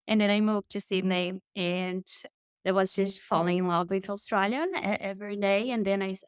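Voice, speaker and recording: A woman from Brazil speaks English with a Brazilian Portuguese accent, warm and enthusiastic as she tells her story to an interviewer. Her pitch sits in the middle range. The room sounds dry and close to the mic. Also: no room sound. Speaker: guest, marketing consultant.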